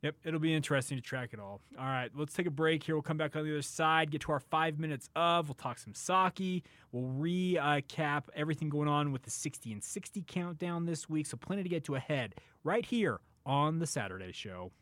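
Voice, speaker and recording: A man's voice: fairly high pitch, brisk, few pauses, a bit bright, dry recording. A man hosting a casual sports talk radio show.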